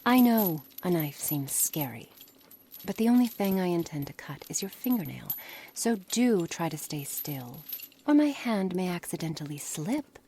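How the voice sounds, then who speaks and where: A low, menacing witch acted by a woman speaks in a deliberate, formal ASMR monologue. She is cold, curious and condescending toward her captive, often sinking to a breathy near-whisper. Faint ambient noise sits behind the voice. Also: big pitch swings, mellow. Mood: coolly threatening. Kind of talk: ASMR roleplay, scripted dramatic monologue. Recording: noisy background ambience.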